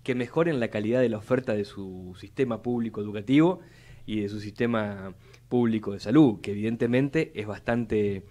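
A man's voice: fairly low pitch, normal speed, mellow. A young man with a Buenos Aires Spanish accent, speaking as a city legislator on a live radio interview in a studio, talking formally and often repeating words as he builds long arguments. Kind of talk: measured policy talk on live radio. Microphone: studio mic on boom arm.

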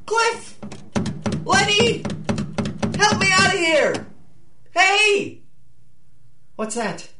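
A woman's voice: high voice, distant mic, background noise, heavily acted. A woman playing a nervous, hesitant young male locksmith and reluctant burglar in a 1930s-style radio drama. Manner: hesitant, protesting, exclaiming. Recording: roomy, slightly off-mic.

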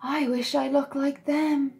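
Woman doing a shy little boy duckling for a storybook: soft, plaintive, raised pitch, gentle and mellow. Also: little pitch movement, slow, vocal fry, exaggerated voice. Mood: sad. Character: small sad shy male ugly duckling.

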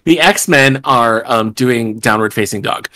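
A man's voice: medium pitch, measured pace, bright, forceful tone. A man doing a comedic live table read on a video call from home, irreverent and sweary. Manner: irreverent, sweary, breaks into laughter. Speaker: podcast host.

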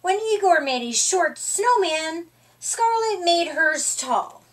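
A middle-aged woman storyteller reads to young children. Her voice is high and swoops expressively, and she takes her time over every phrase. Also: sing-song, slow. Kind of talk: reading a children's picture book aloud. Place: small room, indoors.

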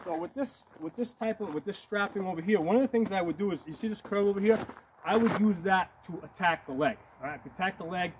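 Guy in his 40s explaining gear to camera, voice a bit high, some outdoor noise behind him. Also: fast. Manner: explanatory, repeats and checks with "right?" and "okay?". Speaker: medieval combat fighter demonstrating shields. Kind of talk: casual hands-on demonstration to camera. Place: outdoors on a gravel yard by woods. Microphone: camera a few metres away. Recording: noisy outdoor camera audio.

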